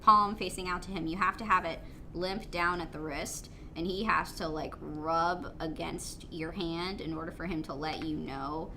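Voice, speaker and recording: A woman's voice: on the low side, fairly even intonation, normal speed, bright, forceful tone, a little hiss or hum. A woman chatting casually, explaining things informally in a hesitant, digressive way. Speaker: panelist on a fan Q&A show.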